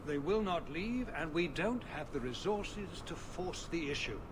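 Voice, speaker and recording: An actor playing an exasperated, world-weary viscount in a fantasy video game, speaking formally and with measured gravity. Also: male voice, a little high, expressive. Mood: weary, resigned. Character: weary, exasperated city viscount, proud but troubled father. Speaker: ruler of a city. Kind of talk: dramatic video game dialogue. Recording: game audio with clear background noise.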